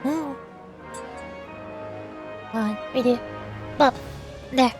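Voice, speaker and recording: High-pitched sleepy woman streamer. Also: very slow, faint music behind. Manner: sleepy, tired, rambling. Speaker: VTuber streamer and amateur voice actor. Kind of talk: casual livestream chat.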